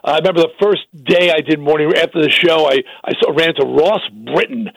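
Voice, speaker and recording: An older man with a gravelly voice, chatting casually as a radio co-host and telling stories with many restarts. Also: a little high, brisk, dry recording. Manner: talkative, storytelling with restarts. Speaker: radio co-host and rock singer. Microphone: studio microphone.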